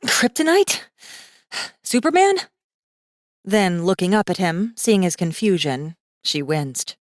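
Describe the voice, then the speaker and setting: A woman narrating a fantasy audiobook in a measured, suspenseful manner with deliberate pauses. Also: lowish voice, darkish tone, no room sound, studio quality. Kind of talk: scripted dramatic fiction narration.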